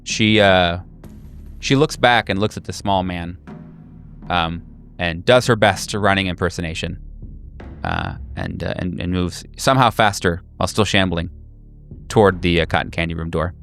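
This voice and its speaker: Male game master, lowish voice, improvised horror narration with searching pauses, over background music. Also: lively intonation, fairly bright tone. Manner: halting, searching for words. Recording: music behind. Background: clear background music.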